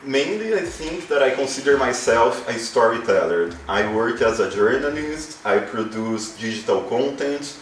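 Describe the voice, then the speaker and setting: A man's voice: medium pitch, lively intonation, moderate pace, few pauses, slight background noise. A man from Brazil with a Brazilian accent, a journalist guest talking reflectively in a casual interview, often saying "you know". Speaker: guest, journalist and life model. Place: room in a home. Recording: roomy, mic at a distance.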